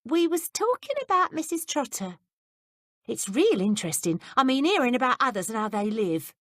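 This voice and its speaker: A woman voicing a clever, nosy young housemaid who asks eager, high-pitched questions. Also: wildly swooping pitch, brisk, fairly bright tone, dry recording, clean studio sound, heavily acted. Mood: coy.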